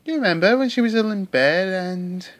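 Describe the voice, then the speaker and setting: A woman's voice: medium pitch, animated, normal speed, lightly acted. A woman playing a cheerful little tank engine. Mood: eager. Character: cheerful eager young male tank engine. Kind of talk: fan audio drama. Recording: loud background music.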